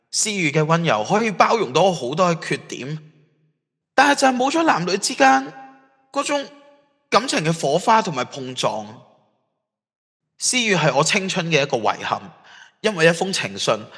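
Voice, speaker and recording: A man's voice: sits high, pushed and bright, husky, heavily acted. An actor playing a conflicted, remorseful young man in an audio drama, earnest and introspective, with a Hong Kong Cantonese accent. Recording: long hall-like reverb.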